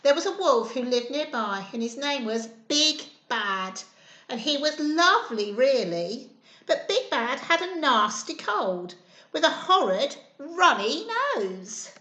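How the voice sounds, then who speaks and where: A woman's voice: sits high, expressive, slow, pushed and bright. A woman hosting children's storytime, talking simply and encouragingly to small children in a sing-song way. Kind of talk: children's storytime reading and chat. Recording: roomy, echoey indoor sound.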